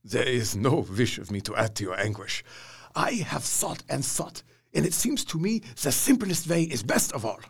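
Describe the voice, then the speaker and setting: An earnest, learned older professor, played by a man in a radio drama. He reasons step by step in slightly broken English, in a gravelly, husky voice with a lively rise and fall. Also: mid-range voice, moderate pace, heavily acted. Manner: earnest, reasoning, foreign grammar.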